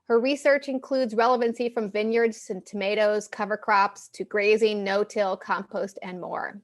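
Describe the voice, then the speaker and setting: A woman host opens an online seminar and introduces the guest speaker. Her pitch is in the middle. Her phrasing is polite and formal, and her voice trails softer at the ends of her sentences. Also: fairly even intonation, moderate pace. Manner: gracious, formal. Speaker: host, moderator.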